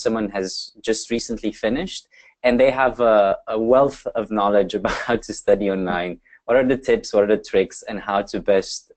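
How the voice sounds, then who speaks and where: A man's voice: on the low side, a little mellow. Upbeat man moderating a webinar. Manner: upbeat, lots of fillers. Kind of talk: webinar panel hosting. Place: Amsterdam.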